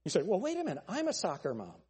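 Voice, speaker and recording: A male pastor preaching a fiery sermon with a thin, nasal voice, pressing his points with forceful, repeated phrases. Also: sits high, huge pitch swings, very fast, husky, big-room sound. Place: church sanctuary.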